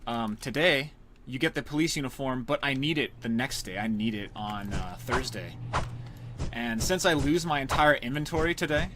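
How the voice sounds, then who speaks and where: A man with a mid-range voice commentates casually on his live speedrun. He is joking and tongue-in-cheek, with clear noise and clicking from the game behind him. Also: mid-range voice, lively intonation, moderate pace. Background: game sounds and clicks.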